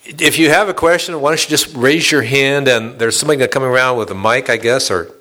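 A man's voice: medium pitch, quick pace, noisy background. A man moderating a book talk, asking the author questions and opening the floor to the audience, with hesitant phrasing.

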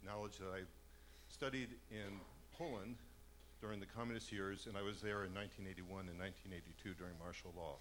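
A man from the audience who asks a slow, halting question with many hesitations. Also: deep voice, fairly even intonation, fairly bright tone, a little hiss or hum. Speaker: audience member asking a question.

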